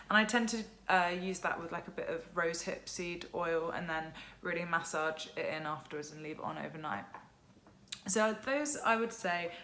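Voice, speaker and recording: A young woman with a croaky voice chatting casually to camera about her skincare routine, filling her talk with "like" and "um". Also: fairly low pitch, a bit bright, a little hiss or hum. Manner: chatty, lots of "like" and "um". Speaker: skincare vlogger. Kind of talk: casual product chat to camera.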